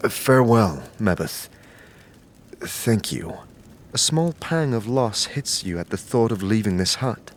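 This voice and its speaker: A man narrating a fantasy audio series in scripted, measured, descriptive second-person storytelling. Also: fairly low pitch, a little hiss or hum.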